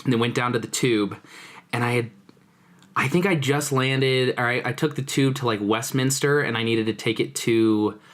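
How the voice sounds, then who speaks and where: A man's voice: medium pitch, fairly even intonation, moderate pace, a bit bright. Chatty young man telling travel stories. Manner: laughs often, self-interrupting storyteller. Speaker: podcast co-host. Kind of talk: casual chat.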